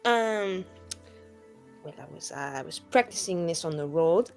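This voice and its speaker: A woman playing a tabletop roleplaying game, speaking in a halting, rambling, improvised in-character style with casual asides. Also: lowish voice, expressive, a little mellow, faint music behind.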